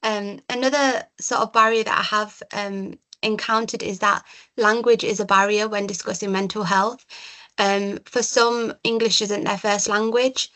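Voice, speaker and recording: Woman presenting slides with measured pauses. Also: mid-range voice, steady tone, pushed and bright, poor audio. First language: Urdu and Punjabi. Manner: measured, frequent um fillers. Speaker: community mental health worker.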